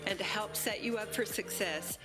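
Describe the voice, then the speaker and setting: A woman's voice: mid-range voice, moderate pace. A woman delivering scripted promotional narration as a city representative. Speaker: city economic development representative. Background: music.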